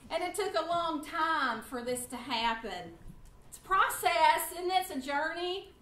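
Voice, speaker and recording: A woman in her fifties, loud and animated, teaching a church class to a seated group. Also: very high voice, measured pace. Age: 50s. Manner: loud, animated, chatty with the room. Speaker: guest Bible class teacher. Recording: roomy echo, faint room noise.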